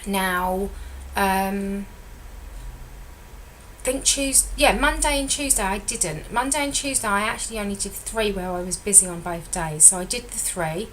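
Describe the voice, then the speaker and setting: Chatty woman with a croaky voice. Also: medium pitch, measured pace, a bit bright, a little hiss or hum, rough recording. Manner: chatty and rambling, self-correcting. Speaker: weight loss consultant. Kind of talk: casual vlog update.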